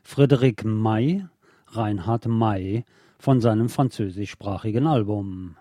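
A man's voice: on the low side, slow pace, dark tone, a little hiss or hum. A man hosting a radio programme, reading scripted news commentary in a formal, pointed way. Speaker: radio host.

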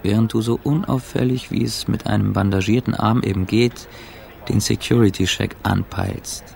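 Gravelly, fatalistic narrator. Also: male voice, fairly low pitch, steady tone, normal speed, a little mellow. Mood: ominous, wry. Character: wry, fatalistic male narrator of a battered, anxious traveller. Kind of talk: second-person radio play narration. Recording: faint background ambience noise.

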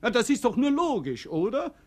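Chatty Austrian prison guard, lively intonation. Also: male voice, very high-pitched, moderate pace, heavily acted. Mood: gossipy, puzzled. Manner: garrulous, folksy, colloquial. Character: chatty, puzzled, plain-spoken male prison guard. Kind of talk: 1960s German-language radio play acting.

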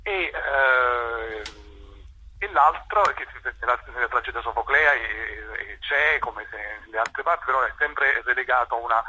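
A man with a husky voice answering interview questions as a theatre director, haltingly, repeating words as he searches for phrasing. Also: mid-range voice, sharp tone, slight background noise. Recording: dull, tinny radio or telephone sound.

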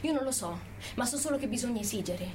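An actress playing a passionate, indignant Italian activist in an emphatic, accusing political theatre monologue. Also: female voice, on the high side, lively intonation, fast talker, a little mellow, slight background noise, lightly acted. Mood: pleading, grave. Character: indignant Italian activist woman living in California, pleading passionately.

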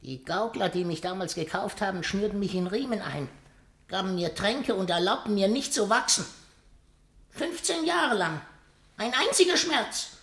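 A proud little dwarf acrobat in a vintage radio play. The voice is creaky and leaps up and down, sardonic and world-weary, moving between hushed and sharp. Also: mid-range voice, expressive, normal speed. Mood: bitter, agitated. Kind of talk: 1950s German radio play acting.

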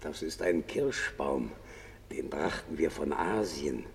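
Radio play: shade of a conquering general, bottomless bass, grave, long reverb. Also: male voice, expressive, takes it slowly, gravelly, heavily acted. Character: dead male Roman general, grave former conqueror.

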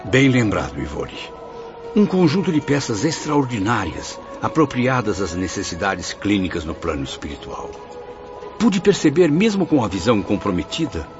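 A man acting a grateful, suffering male spirit who recounts his memoirs in solemn, formal radio drama style. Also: fairly low pitch. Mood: awed. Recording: muffled audio with music behind.

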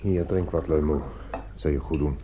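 An actor playing a detached, philosophizing companion in a radio play, measured and matter-of-fact. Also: male voice, very deep voice, fairly even intonation, noisy background. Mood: calming, firm. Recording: dull lo-fi radio play recording.

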